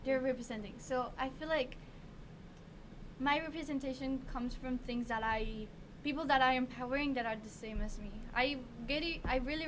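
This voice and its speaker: A teenage woman with a Mexican accent whose first language is Spanish, a podcast guest speaking earnestly in casual discussion and often hunting for words. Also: on the high side. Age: teens. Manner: earnest, searching for words with many fillers. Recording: noisy background.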